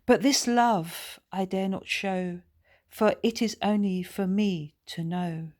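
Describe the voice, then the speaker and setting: A woman's voice: mid-range voice, slow, a little mellow, natural voice. A woman voicing an earnest, lovestruck schoolboy who speaks in an overly proper, formal way, in a children's story. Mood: dreamy, romantic. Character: earnest, lovestruck schoolboy playing the good pupil.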